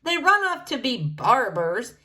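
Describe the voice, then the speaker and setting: Woman doing a blunt, earnest spud villager, animated pitch. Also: unhurried, heavily acted.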